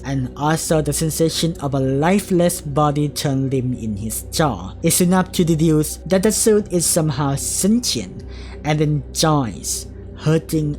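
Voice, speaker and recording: A woman's voice: low voice, darkish tone, rough recording. A woman reading scripted analysis as the narrator of a video essay.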